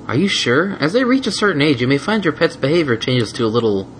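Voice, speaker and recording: Man doing an officious, higher-voiced nurse pony lady. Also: very animated, fast, a little hiss or hum. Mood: flustered.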